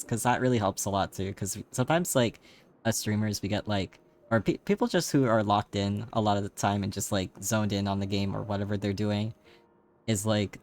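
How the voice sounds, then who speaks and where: Man, streamer, slightly raspy, low voice, rambling casual chat. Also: a little mellow. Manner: rambling, many fillers, laughs often. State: voice tired from streaming.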